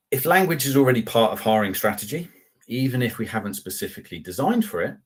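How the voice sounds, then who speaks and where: Low-voiced man presenting a webinar. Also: husky. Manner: conversational presenter, polite asides, frequent fillers and restarts. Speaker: customer success manager presenting a webinar. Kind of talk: live product webinar with slides and screen share. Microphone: video call webcam.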